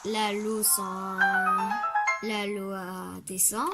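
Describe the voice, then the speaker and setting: A woman's voice: medium pitch, little pitch movement, very slow. A woman with a cold, chatting casually and hesitantly as she plays through a video game, with lots of ums and restarts. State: has a cold. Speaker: let's play host. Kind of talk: casual gameplay commentary. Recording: game music loud behind the voice.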